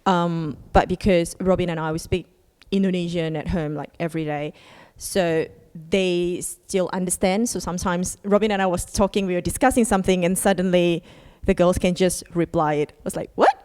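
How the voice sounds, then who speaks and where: Chatty woman with a smooth, lowish voice. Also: moderate pace, a little mellow, long reverb tail. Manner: chatty, with frequent "like" and "um". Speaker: podcast guest. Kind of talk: casual interview answers.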